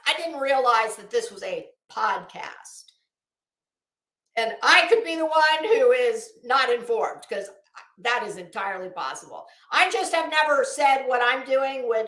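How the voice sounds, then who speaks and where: High-pitched, scornful American woman ranting. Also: fairly bright tone. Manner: scornful, sarcastic, emphatic with rhetorical questions. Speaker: online livestream host. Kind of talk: ranting livestream commentary, casual and profane. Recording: roomy, echoing sound.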